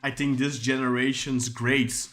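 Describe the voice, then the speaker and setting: A man's voice: mid-range voice, level tone, a little slow, fairly bright tone, soft background music. A man co-hosting a casual football podcast, chatting and reading viewer comments aloud. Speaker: podcast co-host.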